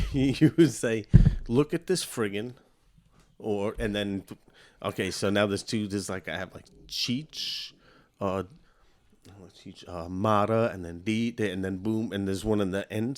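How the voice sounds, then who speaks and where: A man's voice: fairly low pitch, a little slow. A man with a New York Italian American accent, co-hosting a podcast in playful, joking banter. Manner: playful, slips into comic voices, laughs often. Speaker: podcast co-host. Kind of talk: casual banter.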